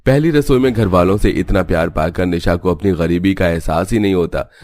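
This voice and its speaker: A man's voice: sits low, fairly quick, darkish tone. A man reading scripted narration for a cartoon story. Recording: music playing behind the voice. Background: background music.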